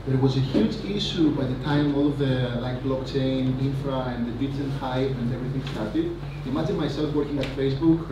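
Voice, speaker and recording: A man giving a rambling, energetic conference talk on stage, full of fillers and asides. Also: medium pitch. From Greece. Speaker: conference speaker. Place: large conference hall. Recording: echoey hall, mic from a distance, noisy.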